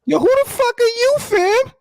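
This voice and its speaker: A man with a high voice and lively, swinging intonation plays podcast host. He banters in loose slang, teasing the storyteller and cutting in with quick remarks. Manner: teasing, interrupting. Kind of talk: casual banter, slangy interjections.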